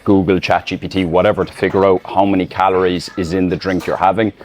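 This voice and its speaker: An Irish man, a fitness coach, talking casually to a phone camera outdoors, loose and rambling with many fillers. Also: low voice. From Ireland. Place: outdoors in public, filming selfie-style. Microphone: phone camera in selfie mode.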